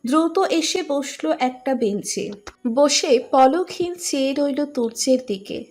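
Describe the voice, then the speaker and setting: Female narrator for scripted romance audiobook; high-pitched voice, storytelling delivery. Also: darkish tone.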